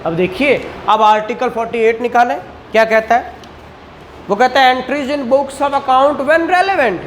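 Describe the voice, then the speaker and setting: A man's voice: very high-pitched, unhurried, a little hiss or hum, low-quality recording. A man with a Pakistani accent giving a classroom law lecture, didactic, often prompting listeners with questions and repeating the answers. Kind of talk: classroom exam-prep lecture.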